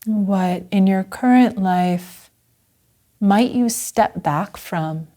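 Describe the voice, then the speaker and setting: A woman Zen teacher leading a guided meditation in a gentle, unhurried manner with long pauses. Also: medium pitch.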